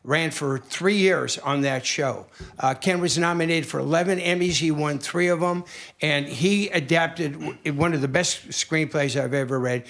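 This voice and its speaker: A man's voice: a little high, normal speed, fairly bright tone. A man hosting a casual online concert stream, chatting and introducing the next guest. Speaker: show host. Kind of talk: casual livestream chat.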